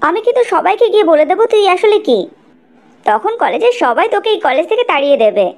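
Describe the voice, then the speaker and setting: Cartoon college mean girl, scornful and threatening, very high, animated pitch played by a woman. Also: fast talker, heavily acted. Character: scornful, threatening young female college friend. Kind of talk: cartoon dialogue.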